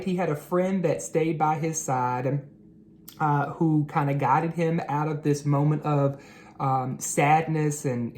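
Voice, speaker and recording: A read-aloud host narrating storybooks and talking to children in an encouraging, teacherly way. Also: mid-range voice.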